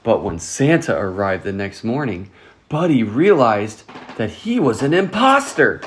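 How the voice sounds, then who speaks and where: A storyteller reading a children's picture book aloud in an expressive, scripted way. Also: mid-range voice, a little slow, a little hiss or hum. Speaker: storytime reader.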